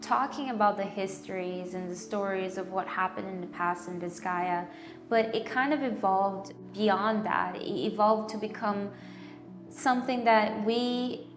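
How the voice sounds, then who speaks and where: This is a young adult woman from Brazil who works as an architectural designer and artist. She explains her project in a calm, measured way. Her voice sounds roomy and echoey, with clear music playing underneath. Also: medium pitch. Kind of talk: documentary interview.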